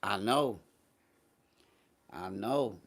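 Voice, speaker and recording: Casting: American male livestream host, lowish voice, casual chat delivery, blunt and dismissive. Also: very slow, vocal fry. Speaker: host of a morning talk show.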